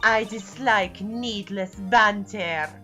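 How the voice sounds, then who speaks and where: A woman's voice: mid-range voice, slow, bright, forceful tone. A woman voicing a cold, menacing, imperious female producer in a video game fan dub, curt and disdainful, over music. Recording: faint background music behind voice.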